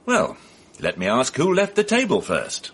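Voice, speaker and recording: A man playing a dry, precise police inspector in a radio drama, with a British accent and a formal, measured, ironic way of questioning. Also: on the high side, wildly swooping pitch, slightly rough, heavily acted. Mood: patient, probing. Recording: faint background noise on archive radio broadcast.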